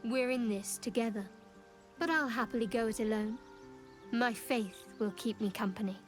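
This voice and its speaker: A woman playing a guarded, devout young cleric of a dark goddess in a video game, speaking in a measured, earnest, dryly wry way. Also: a little high, music in the background, close to an everyday voice. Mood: guarded, resolute. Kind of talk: video game character dialogue.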